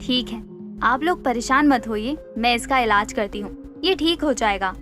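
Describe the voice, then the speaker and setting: Lady playing a composed but secretly evil village doctor in a cartoon, slightly high voice, music behind. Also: moderate pace, lightly acted. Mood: reassuring. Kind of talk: animated Hindi story dialogue.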